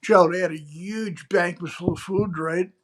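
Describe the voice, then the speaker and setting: A man's voice: high-pitched, fairly even intonation, unhurried, fairly bright tone. A man co-hosting a casual livestream chat, talking in a chatty way and often tagging his sentences with "right?". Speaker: co-host.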